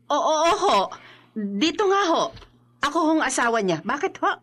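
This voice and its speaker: Fretful high-voiced wife. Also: female voice, sing-song, normal speed, fairly bright tone. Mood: worried. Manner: fretful, emotive. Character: exasperated, anxious wife of a troublesome man. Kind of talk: Filipino radio drama acting.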